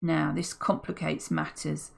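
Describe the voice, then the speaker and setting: A woman voicing a second male criminal in an audiobook, slow and deliberate. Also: sits low, flat delivery, vocal fry, breathy edge, full character voice. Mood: calculating.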